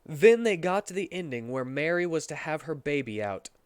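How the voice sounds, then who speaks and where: A man's voice: a little high, animated, moderate pace, clear, resonant tone, dry recording. A man reading a story aloud as a comedic dramatic performance, sometimes stumbling over the words.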